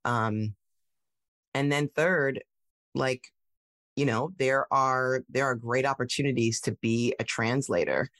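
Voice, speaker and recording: A woman tech founder telling her story in a casual interview, animated, with many fillers and restarts. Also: rumbling low, slow pace, no room sound, studio quality. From United States.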